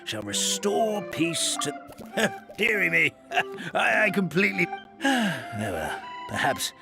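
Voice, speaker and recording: A man's voice: high-pitched, huge pitch swings, slow pace, gravelly, heavily acted. An actor playing a fussy, absent-minded old male hamster elder made of paper, rambling with many hesitations. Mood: storytelling. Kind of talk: video game voice acting. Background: game music.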